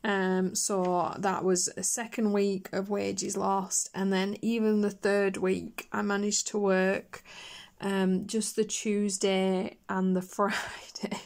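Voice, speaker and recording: A woman chatting casually on her colouring channel, her voice hoarse and croaky after a chest infection, rambling with fillers and coughs. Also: medium pitch, flat delivery, takes it slowly, darkish tone. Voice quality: husky. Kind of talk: casual chat while colouring.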